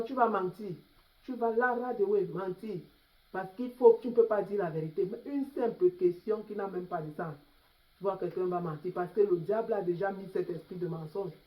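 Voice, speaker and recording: A man preaching an urgent sermon, repeating warnings and firing rhetorical questions. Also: sits high. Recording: slightly dull lo-fi recording.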